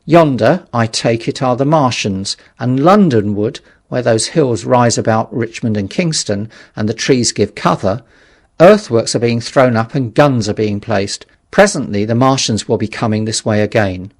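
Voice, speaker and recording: A man's voice: medium pitch, animated, normal speed. A man voicing a sober, steadfast survivor in an audiobook story, firm and matter-of-fact as he exhorts. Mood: grave resolve.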